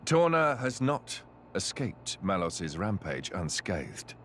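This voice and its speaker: Game cutscene hero: earnest young noble, animated pitch, faint hum behind. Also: male voice, slow, heavily acted. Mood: frank, guarded. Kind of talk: video game cutscene acting.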